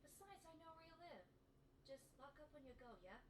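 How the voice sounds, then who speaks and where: A woman's voice: high-pitched, very animated, fairly quick. Echoey, distant teenage girl voiced by a woman. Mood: casual, brisk. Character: casual teenage girl Ranger, evasive with her boyfriend. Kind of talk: amateur audio drama acting. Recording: noisy, echoey, distant microphone.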